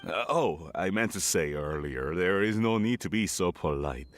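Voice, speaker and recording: An actor playing a worried middle-aged father, hesitant, soft-spoken and anxious. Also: sits low, animated, normal speed, slight background noise. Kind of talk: game cutscene voice acting.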